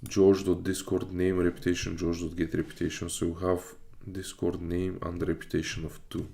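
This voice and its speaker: Very deep-voiced man thinking aloud on stream. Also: completely flat delivery, moderate pace, gravelly, dark tone. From Bulgaria. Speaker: live streamer learning web development. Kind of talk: casual livestream study commentary, reading aloud.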